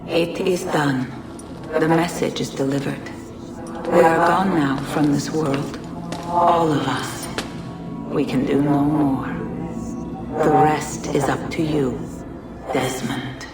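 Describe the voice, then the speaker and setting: An actress voicing a solemn ancient female being who speaks slowly and gravely, as in a game cutscene. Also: sits low, fairly even intonation. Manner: slow, solemn, measured. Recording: echoey and distant, loud music.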